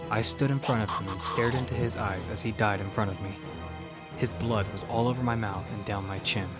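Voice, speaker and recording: A man earnestly narrating a dramatic first-person action story in scripted audio fiction. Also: lowish voice. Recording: loud music bed behind the voice. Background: loud music.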